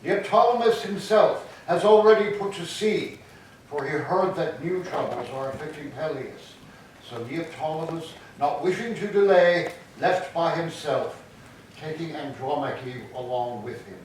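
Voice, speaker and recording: A sorrowful Greek herald, played by a man, speaks in a fairly high voice, measured and formal. It is a stage tragedy heard from a distance in a very echoey space. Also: natural voice. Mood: solemn, sorrowful. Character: sorrowful male Greek herald bringing grim news. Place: church, staged reading. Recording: very echoey hall, distant mic, some noise.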